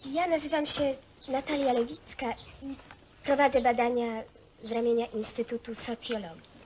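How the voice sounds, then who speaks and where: Female researcher, played by an actress: higher voice, courteous, formal, slightly hesitant, old film sound with faint noise. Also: little pitch movement, normal speed, a little mellow, natural voice. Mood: polite. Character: polite, earnest female sociology researcher conducting a survey. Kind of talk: naturalistic film acting.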